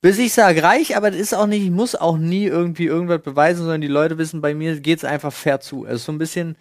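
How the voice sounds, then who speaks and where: A man's voice: a little high, fairly even intonation, fast, no echo, clean studio sound. A man chatting casually as a podcast co-host, thinking aloud in loose, self-correcting sentences. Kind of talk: casual chat.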